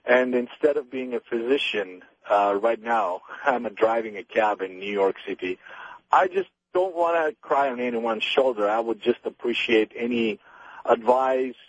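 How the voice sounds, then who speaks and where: A man's voice: mid-range voice, very animated, moderate pace, husky, no echo, low-quality recording. A young man whose first language is Bulgarian, calling into a radio show and speaking hesitantly with many ums. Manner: hesitant, lots of ums, earnest. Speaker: caller. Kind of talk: phone-in call.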